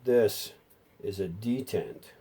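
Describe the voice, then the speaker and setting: A man with a fairly low, very mellow and relaxed voice talks through a hands-on repair. He is unscripted and folksy, working things out aloud as he goes. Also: slow pace, husky. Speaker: hobbyist repairer presenting a how-to video.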